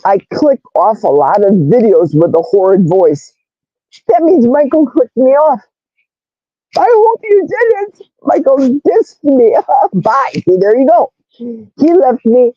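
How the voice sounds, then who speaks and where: A woman with a loud, raspy, brash voice hosting a casual livestream, reading chat comments aloud and greeting viewers. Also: sits high, takes it slowly, no echo, muffled recording. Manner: animated, reads comments aloud, squeals greetings. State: hoarse, vocal fry.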